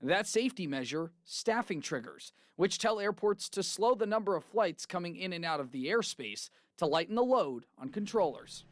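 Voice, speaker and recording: An American male TV reporter delivers scripted news narration. His voice sits a little high for a man, and the recording is very dry and close, with no room sound. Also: lively intonation, moderate pace, hardly any pauses, no room sound.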